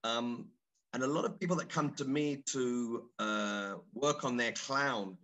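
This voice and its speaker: A man's voice: medium pitch, measured pace, fairly bright tone, rough recording. A middle-aged man introducing himself informally on an online panel, playful and rambling, often repeating his phrases. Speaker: panelist, clown and drama therapist. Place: online video call.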